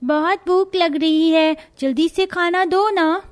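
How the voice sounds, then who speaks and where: A woman voicing a small hungry child in a cartoon story, pleading in a drawn-out way. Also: extremely high pitch, fairly even intonation.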